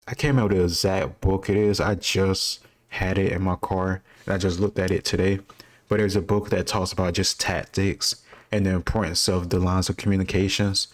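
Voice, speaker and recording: A man's voice: low-pitched, level tone, normal speed. A man giving casual, rambling commentary as he answers quiz questions, thinking aloud and candid about what he doesn't know. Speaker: quiz-taker sharing his own commentary.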